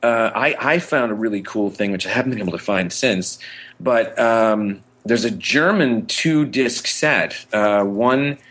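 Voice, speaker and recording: Low-pitched man in rambling podcast chat. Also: a little slow, a bit bright. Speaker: podcast co-host. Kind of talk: casual enthusiastic pop-culture chat.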